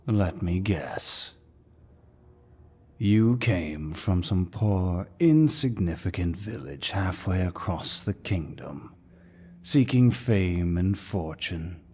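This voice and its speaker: A man playing a suave, dominant demon lord who speaks in a deep, husky voice with mocking courtesy, as in an ASMR villain roleplay. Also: expressive, mellow, full character voice. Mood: silky, intrigued. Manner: slow, mocking, mock-courteous, theatrical.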